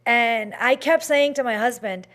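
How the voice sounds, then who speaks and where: A woman's voice: on the high side, measured pace, a bit bright. A woman hosting a talk show, telling a story in a chatty way with many fillers and restarts.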